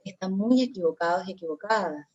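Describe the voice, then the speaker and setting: A woman's voice: medium pitch, expressive, brisk, darkish tone, dull audio. A woman from Colombia speaking as a guest in a virtual conference discussion, reflective and hesitant, with many fillers, over a video call.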